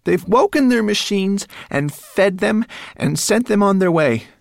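A man voicing a small female rat in a storybook, shrill, sarcastic and scolding. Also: expressive, unhurried, vocal fry, no echo, full character voice. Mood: alarmed, urgent. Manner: emphatic, scolding.

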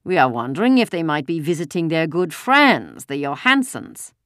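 A woman voicing a harsh, angry older male German soldier, loud and menacing, in an audiobook. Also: big pitch swings, moderate pace, dry recording, studio quality. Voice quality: deep, harsh. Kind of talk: audiobook character voice.

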